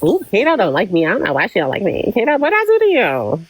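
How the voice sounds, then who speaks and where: A woman's voice: medium pitch, wildly swooping pitch, fast, husky, no echo. A woman hosting a live-stream interview, who often breaks in to steer the talk and recap what the guests said. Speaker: host.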